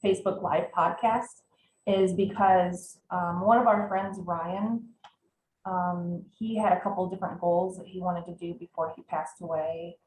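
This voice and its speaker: A woman's voice: fairly low pitch, fairly even intonation, a little slow, darkish tone. A woman in her forties opens and runs a casual podcast chat. She hesitates a lot, filling gaps with ums. The room sounds echoey, and there is a faint background hum. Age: 40s. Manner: hesitant, many filler ums. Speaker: podcast host.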